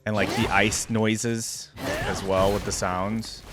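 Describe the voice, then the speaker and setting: A man's voice: fairly low pitch, level tone, slow pace, music in the background. A man giving casual reaction commentary on video game character skins, thinking aloud as he goes. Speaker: reaction channel host.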